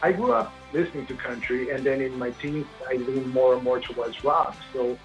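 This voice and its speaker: A man from Sweden with a big, gravelly voice, telling stories at length in a casual interview and often restarting his sentences. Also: medium pitch, brisk, highs cut off, faint music behind. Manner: rambling storyteller, frequent restarts. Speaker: singer-songwriter guest.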